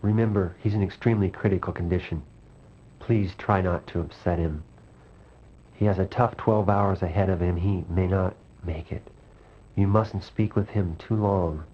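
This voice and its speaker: A man's voice: steady tone, normal speed, husky. A man playing a serious royal bedside attendant in a game cut-scene, formal and cautioning in manner. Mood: concerned, firm. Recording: muffled game audio, faint rumble.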